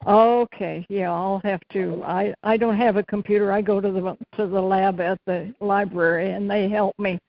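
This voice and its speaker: A woman's voice: mid-range voice, steady tone, normal speed, creaky, few pauses, dry recording. A woman phoning in with casual questions, speaking in a chatty, somewhat hesitant way. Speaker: caller asking questions. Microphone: phone call. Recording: dull, lo-fi phone call audio.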